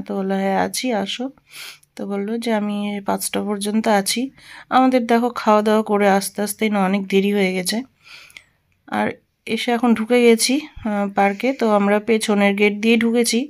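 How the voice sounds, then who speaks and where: A woman, narrating a casual family vlog and talking directly to her viewers in a conversational way. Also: medium pitch. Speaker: family lifestyle vlogger.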